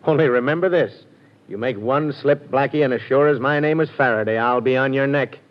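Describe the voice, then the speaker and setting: A man's voice: medium pitch, brisk, lightly acted. An actor playing a stern, warning male police inspector, in 1940s radio acting. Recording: dull 1940s radio broadcast.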